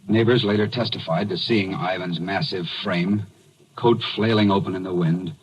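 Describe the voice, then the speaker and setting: An actor playing a man who recounts a madman's grim tale in measured, grave old-time radio narration. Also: low voice, breathy edge. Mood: grave, suspenseful. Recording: muffled old radio recording.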